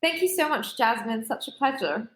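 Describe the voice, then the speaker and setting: A woman from Singapore, a startup founder, telling her story in a measured, articulate way in a podcast interview. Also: a little high, lively intonation, fairly bright tone, reverberant.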